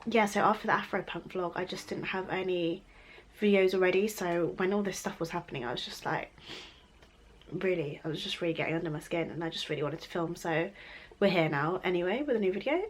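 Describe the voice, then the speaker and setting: A young woman chatting casually through a makeup tutorial, her voice a little croaky from a cold, rambling and laughing easily. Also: mid-range voice. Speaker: beauty vlogger.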